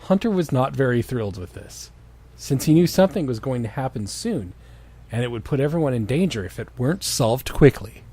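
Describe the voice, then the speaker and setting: A man's voice: medium pitch, animated, fairly quick, dark tone, husky. A man reading fan fiction aloud as a storyteller, with wry, profane casual asides.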